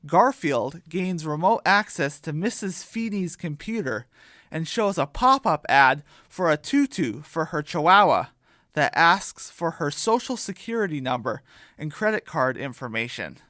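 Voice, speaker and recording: A man's voice: fairly high pitch, sing-song, measured pace, sharp tone, no room sound, clean studio sound. A man reading scripted narration for a comic audio story as its storyteller.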